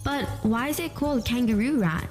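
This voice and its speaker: A woman voicing a curious young kid who keeps asking questions in an animated story. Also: fairly high pitch, brisk, natural voice. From South Africa. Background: background music.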